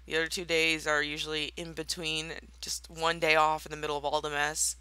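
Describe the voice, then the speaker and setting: Very bright, playfully theatrical young woman. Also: deep voice, flat delivery, a little fast, clear, resonant tone, no echo, rough recording. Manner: playful, mock-villainous, theatrical. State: slightly raspy, just out of bed. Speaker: Minecraft let's player. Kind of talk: casual gameplay commentary.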